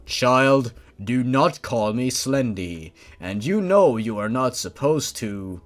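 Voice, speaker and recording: A man voicing a towering faceless monster father in a storybook reading, formal, stern and paternal, with a deep tone. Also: expressive, slow.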